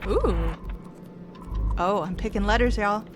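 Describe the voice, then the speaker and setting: A woman's voice: mid-range voice, a little slow. A woman streaming a game, chatty and playful, often talking to herself. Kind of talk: playing a game live. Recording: game music loud under voice.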